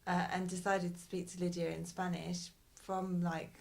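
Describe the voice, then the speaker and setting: A woman with a fairly low voice answers interview questions in a relaxed, conversational way. Her pitch barely moves, and she talks thoughtfully, pausing and restarting as she gathers her words. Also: creaky. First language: English. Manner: thoughtful, hesitant, with frequent pauses and restarts. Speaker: interviewee, bilingual parent.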